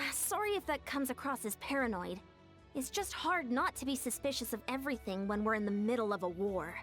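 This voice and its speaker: A serious, distrustful commander from a fantasy game. She speaks in a measured, earnest way, with a lively high voice. Faint game music plays behind her. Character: wary woman commander, serious and suspicious. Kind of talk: game voice acting.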